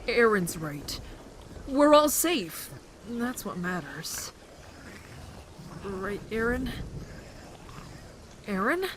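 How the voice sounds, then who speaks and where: A woman playing a timid, kind teenage boy survivor in an audio drama, hesitant and gentle in manner. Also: medium pitch, big pitch swings, drawn-out words, long pauses, background noise. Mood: gently reassuring.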